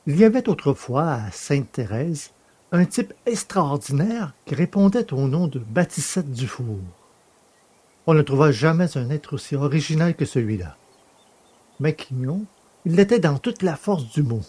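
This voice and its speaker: A man's voice: on the high side, very animated, a little slow, a little mellow, husky. A man hosting a podcast and reading stories aloud in scripted narration. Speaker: podcast host and audiobook reader.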